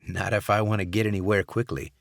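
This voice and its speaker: Audiobook: male narrator voicing a calm male inspector, near his own low voice, dry room. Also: quick pace, gravelly, no room sound. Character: smooth-talking male undercover inspector.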